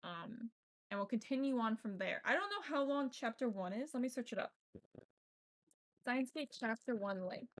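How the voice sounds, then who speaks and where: A woman's voice: fairly high pitch, animated, normal speed. A woman game streamer talking casually to her chat, rambling with frequent ums and the odd swear word. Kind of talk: casual live-stream chat while playing.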